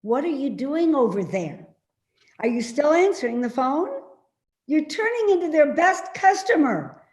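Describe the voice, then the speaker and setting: A sarcastic elderly mother in a stage play, acted by a woman, needling and quick to brush things off. Her voice sits fairly high and moves with very lively swings of pitch. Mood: prying, needling. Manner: dry, needling, dismissive. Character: hobbling, sharp-tongued elderly mother, evasive and sarcastic. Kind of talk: readers theater stage-play acting.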